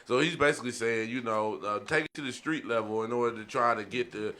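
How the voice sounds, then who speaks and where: A man hosting a casual discussion, putting questions to the group in a measured, questioning way. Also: mid-range voice, pushed and bright. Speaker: podcast host.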